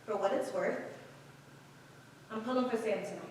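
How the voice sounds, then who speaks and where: A woman's voice: fairly high pitch, normal speed, darkish tone, a little hiss or hum, close to an everyday voice. A woman playing a proud, pragmatic film actress, emphatic and colloquial, in a staged play reading. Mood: earnest, subdued. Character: Diane, proud, pragmatic, hard-bitten film actress urging a stubborn friend. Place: echoey room at a staged reading. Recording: very echoey room, distant microphone.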